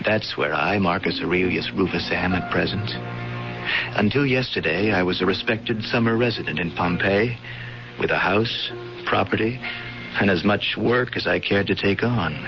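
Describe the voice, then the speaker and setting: An actor playing an aggrieved, dignified imprisoned gentleman, telling his own story in a measured, wry way in a radio drama. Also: sits low. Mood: wryly aggrieved. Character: dignified, aggrieved Athenian man, an imprisoned architect. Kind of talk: first-person radio drama narration. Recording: muffled radio broadcast, music bed, noise.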